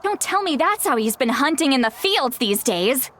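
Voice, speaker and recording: Game granny: elderly woman, high and very animated, hot-tempered, scolding yet caring, exasperated delivery. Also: moderate pace, full character voice. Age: older. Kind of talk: video game voice acting.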